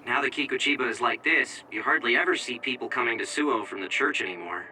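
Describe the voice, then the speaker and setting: An actor in an English anime game dub playing an easygoing young operator who directs a platoon over headsets. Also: male voice, medium pitch, fast, bright, forceful tone, distant mic, slight background noise, rough recording. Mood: casual, explaining. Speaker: mission operator.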